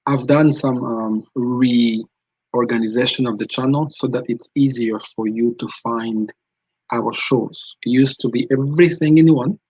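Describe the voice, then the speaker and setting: A man's voice: mid-range voice, measured pace, a little mellow, slightly rough, no room sound, poor audio. A man from Rwanda, hosting a live stream, chatting casually and answering viewers' comments as they come in. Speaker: channel host.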